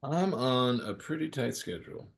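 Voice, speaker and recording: A man's voice: mid-range voice, animated, normal speed, gravelly. A man playing a laid-back, friendly young ski guy in a screenplay table read, casual and teasing. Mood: warm, casual. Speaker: actor at a script table read. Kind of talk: casual naturalistic screenplay reading.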